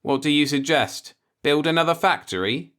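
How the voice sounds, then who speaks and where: A man's voice: a little high, animated, normal speed, a bit bright, pitched above the performer's own voice. A man voicing an earnest, law-abiding and easily alarmed man in a science-fiction audiobook, with an insistent manner. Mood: wry. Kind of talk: audiobook character voice in a sci-fi story.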